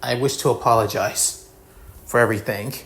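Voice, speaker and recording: A man voicing a calm woman hero who speaks in a subdued, halting, conciliatory way within a told story. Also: medium pitch, lightly acted. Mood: apologetic. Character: calm, apologetic woman government hero offering condolences.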